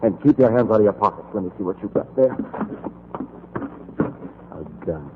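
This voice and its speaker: A man's voice: on the low side, lively intonation, moderate pace, close to an everyday voice. An American actor playing a smug, menacing young henchman, sardonic and bossy, in a 1940s radio crime drama. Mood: smug, threatening. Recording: noisy, narrow-band 1940s radio recording.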